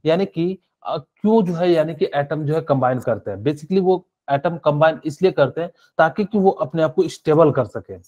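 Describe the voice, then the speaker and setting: Male chemistry teacher, slightly high voice, mellow, filler-heavy explanations, lo-fi class audio. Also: normal speed, few pauses. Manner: explains in Hinglish with many fillers, asks students questions. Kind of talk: live online class lecture.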